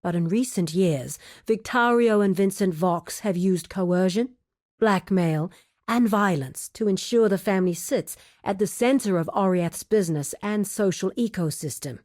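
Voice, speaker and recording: A woman playing a composed, shrewd criminal guild woman in a video game, guarded and wry, speaking in a measured, formal, articulate way. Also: mid-range voice, darkish tone, close to an everyday voice. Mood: composed, knowing. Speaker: video game quest-giver character. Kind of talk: scripted video game dialogue.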